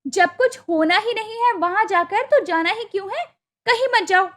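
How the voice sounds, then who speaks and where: A woman's voice: very high voice, normal speed, a bit bright, voice pushed up. A woman voicing a jealous, resentful young sister-in-law in a cartoon story, curt and scolding. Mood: angry, scolding. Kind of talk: Hindi cartoon moral story acting.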